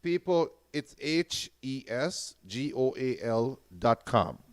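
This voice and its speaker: A man's voice: mid-range voice, animated, takes it slowly, smooth, no room sound. A man co-hosting a football watch-along, reading viewer comments aloud and stammering on his first words. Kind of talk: casual watch-along banter.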